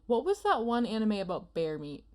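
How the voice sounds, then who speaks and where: A woman co-hosting a casual panel discussion in an animated, playful manner. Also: fairly high pitch, moderate pace. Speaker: podcast co-host.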